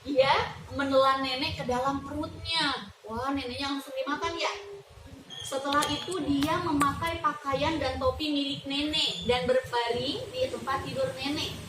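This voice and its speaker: A young woman reading a fairy tale aloud to children, lively and often stopping to ask them questions. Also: sits high, normal speed. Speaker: student teacher. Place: classroom. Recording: roomy classroom from far off, background noise.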